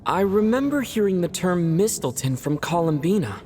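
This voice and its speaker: An actor voicing a calm, perceptive traveler hero who speaks matter-of-factly in a game. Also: medium pitch, moderate pace, a little hiss or hum, natural voice. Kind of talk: video game voice acting.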